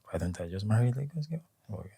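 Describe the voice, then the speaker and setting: A young Nigerian man with a Nigerian accent, a guest in an interview who speaks in a calm and measured way. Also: fairly low pitch, gravelly, husky, no room sound, clean studio sound. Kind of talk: conversational interview.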